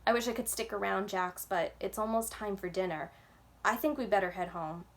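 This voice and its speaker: A woman's voice: mid-range voice, brisk, natural voice. A woman voicing a loyal, bossy young boy, a protective big brother with a direct manner in a storybook. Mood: reassuring.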